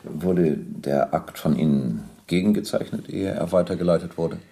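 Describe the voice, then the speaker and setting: Radio drama role: police captain interrogator, low, dark, creaky voice, terse and matter-of-fact. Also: male voice, moderate pace. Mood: sober, probing. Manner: sober, brief, precise questioning. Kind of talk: German radio play, interrogation questioning.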